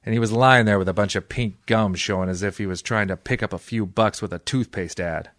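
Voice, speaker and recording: Guy doing a hardboiled radio private eye, low dry voice, deadpan wisecracks, real cynical. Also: fairly quick, no room sound, close to an everyday voice. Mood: wry, deadpan. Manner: deadpan, sardonic. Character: hard-boiled wisecracking male private detective, cynical and tough. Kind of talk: old-time radio detective acting with first-person narration.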